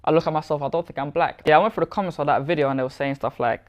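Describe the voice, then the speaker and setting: A man speaking as an interview guest, relaxed and candid in casual conversation. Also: fairly high pitch, racing.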